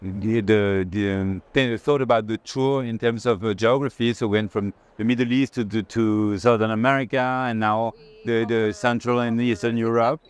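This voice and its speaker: A man's voice: fairly low pitch, fairly even intonation, moderate pace, smooth. A man with a French accent chatting casually on an art fair tour, informal and often searching for words. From France. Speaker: art institution director in conversation.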